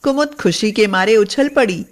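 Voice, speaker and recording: A woman's voice: mid-range voice, normal speed. A woman narrating a scripted moral story as a storyteller.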